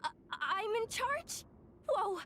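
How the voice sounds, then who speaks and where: A woman voicing a small, eager and excitable young assistant in a video game, polite but quick to stammer and exclaim. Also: extremely high pitch, a little hiss or hum, exaggerated voice. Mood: startled.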